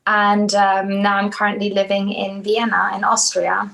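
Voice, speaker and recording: Gravelly-voiced woman, flat delivery. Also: mid-range voice, moderate pace. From South Africa. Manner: hesitant, many restarts and fillers. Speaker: podcast guest, dancer. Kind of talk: casual interview chat.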